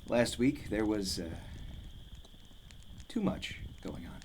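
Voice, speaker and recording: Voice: medium pitch, lively intonation, takes it slowly, a little mellow. An actor playing a learned, proud, mystical court magician in a radio play, formal and cryptic. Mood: guarded. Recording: background noise over the voice.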